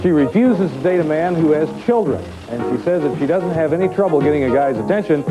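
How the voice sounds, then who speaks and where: A man's voice: on the high side, animated, quick pace, over loud music, rough recording. A man hosting a dating show in front of a studio audience, chatty and teasing with the guests. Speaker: game show host. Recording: old TV studio broadcast with noise.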